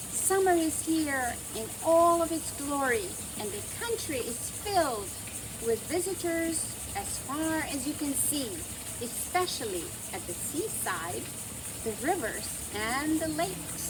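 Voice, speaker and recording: High, lilting, slow woman storyteller. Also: very animated, background noise. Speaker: children's storyteller. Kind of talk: expressive scripted storybook narration.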